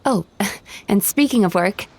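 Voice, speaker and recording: A woman voicing a gentle, earnest dream-eating spirit in an anime video game, speaking politely as a young woman. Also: mid-range voice, animated, a little slow. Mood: polite, friendly.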